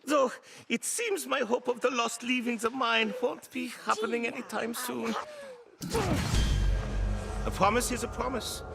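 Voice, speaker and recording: An actor playing a plain-spoken, honest miner in a video game, with a hearty, folksy manner. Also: male voice, extremely high pitch, fairly even intonation, unhurried, gravelly, pauses often, loud music behind the voice, full character voice. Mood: resolute. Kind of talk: video game character dialogue.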